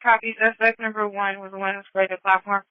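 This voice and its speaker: A woman's voice: mid-range voice, little pitch movement, quick pace, clean tone, no room sound. A woman working as a police dispatcher, speaking in clipped acknowledgements and relayed instructions over the radio. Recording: muffled close radio dispatch channel.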